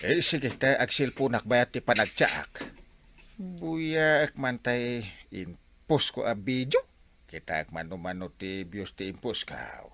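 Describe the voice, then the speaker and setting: A man playing a jovial, proud village captain in a folksy, excitable comic radio drama style. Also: medium pitch, unhurried, vocal fry, a little hiss or hum, exaggerated voice. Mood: distracted. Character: jovial male village captain, proud, easily sidetracked from duty.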